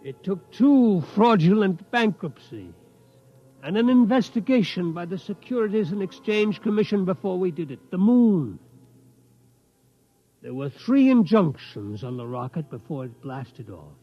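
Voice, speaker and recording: An actor playing an obsessed, determined old tycoon in a 1950s radio drama, reminiscing and insistent. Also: male voice, high voice, very animated, unhurried, soft background music, exaggerated voice. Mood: wistful, defiant. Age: older. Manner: reminiscing, insistent, tags sentences with "hmm?". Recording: dull 1950s radio recording.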